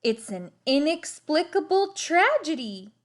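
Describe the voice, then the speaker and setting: A woman's voice: high-pitched, very animated, unhurried, pitched above the performer's own voice. A woman voicing a gloomy, earnest young boy in a storybook, with woeful, dramatic delivery. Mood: solemn. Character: gloomy, melodramatic, earnest boy in dreadful sweaters.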